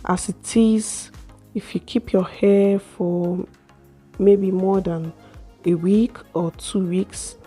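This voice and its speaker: A young woman with a slightly hoarse voice, chatting slowly through a hair care vlog in a small room. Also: medium pitch, steady tone, mellow, faint music behind. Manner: slow, chatty explaining with fillers. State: a little hoarse from a cold. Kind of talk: casual how-to vlog.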